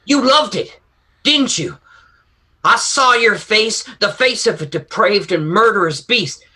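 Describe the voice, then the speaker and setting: A man's voice: high voice, lively intonation, unhurried, pushed and bright, slightly rough, heavily acted. An actor playing a bitter, anguished young man in a western radio drama, accusing with heavy emphasis. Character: anguished young son bitterly accusing his cruel father.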